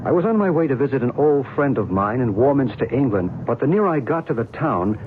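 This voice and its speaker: An actor playing a knowing, enigmatic American narrator and believer in an old-time radio drama, measured and assured. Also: male voice, medium pitch, big pitch swings, music in the background, close to an everyday voice. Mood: narrating. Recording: tinny, noisy old radio recording.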